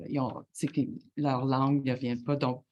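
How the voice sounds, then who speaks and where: A middle-aged woman with a Franco-Ontarian French accent, answering interview questions haltingly, often stopping to search for words. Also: very deep voice, level tone, darkish tone, lo-fi sound. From Ontario, Canada. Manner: halting, many fillers and restarts, searching for words. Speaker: guest, chief executive.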